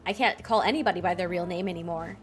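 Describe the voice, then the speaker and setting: A woman's voice: fairly low pitch, fast, soft background music. A woman game streamer giving casual, playful live commentary and reading bits out.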